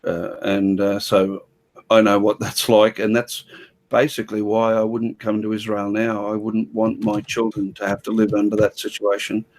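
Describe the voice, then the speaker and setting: A man with an Australian accent hosts a casual podcast chat in a lowish voice. He is affable, agreeing with his guest and explaining things to the audience. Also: monotone, normal speed, a little mellow. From Malaysia.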